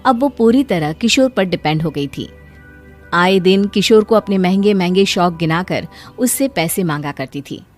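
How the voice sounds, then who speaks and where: A woman's voice: on the low side, animated, normal speed, soft background music. A woman narrating a scripted cartoon story as a storyteller.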